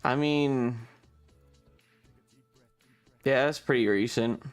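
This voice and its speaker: A man's voice: medium pitch, extremely slow, clear, resonant tone, soft background music. A man hosting a casual livestream, chatting with viewers in a playful, rambling, slightly distracted way. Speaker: live streamer and host.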